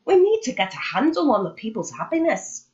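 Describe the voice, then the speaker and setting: A woman's voice: high voice, big pitch swings, a little fast, a little mellow, faint music behind, pitched above the performer's own voice. A woman voicing a young male wooden spoon in a storybook, an earnest, rallying leader, in a slightly muffled recording.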